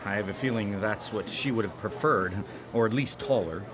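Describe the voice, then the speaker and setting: A man's voice: fairly low pitch, moderate pace, music in the background, close to an everyday voice. A man playing an awkward, stammering, defensive blind-dater in a radio drama. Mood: sullen.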